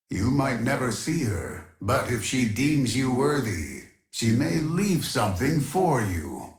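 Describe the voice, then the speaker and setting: An actor playing an obsessive, imperious keeper of a digital archive in a video game, speaking in a formal, pompous, measured way. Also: male voice, medium pitch, echoey room. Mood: solemn recounting. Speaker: video game quest-giving character. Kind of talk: scripted video game character dialogue.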